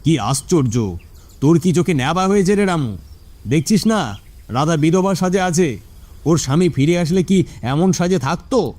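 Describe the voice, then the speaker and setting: A man's voice: fairly high pitch, lively intonation, normal speed, darkish tone. A man playing a sly bossy village uncle. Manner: bossy, chuckling.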